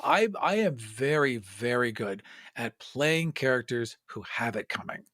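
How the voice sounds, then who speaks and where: A man's voice: mid-range voice, lively intonation, unhurried, a bit bright, no echo. A man talks in a casual brainstorming chat with a mid-range voice and a dry recording. He is emphatic, swears freely and tends to repeat phrases as he builds a point.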